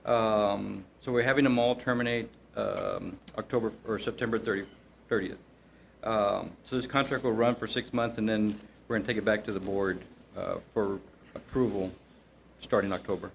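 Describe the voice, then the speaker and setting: A man presents to a city commission. His delivery is halting, full of ums and restarts. Faint hiss and room ambience sit behind him. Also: lowish voice, steady tone, vocal fry. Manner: halting, with frequent ums and repeated words. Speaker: city staff member presenting an agenda item.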